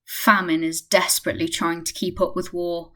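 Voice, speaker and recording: A woman's voice: fairly low pitch, flat delivery, moderate pace, a bit bright, close to an everyday voice. A woman reading scripted narration for a radio play.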